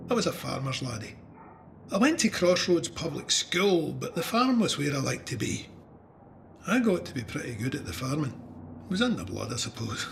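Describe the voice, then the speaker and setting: A man's voice: fairly high pitch, creaky, a little hiss or hum. An actor playing a plain-spoken Ayrshire farmer and war veteran, telling his memoir in a reflective, conversational Scottish voice. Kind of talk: dramatised first-person memoir narration.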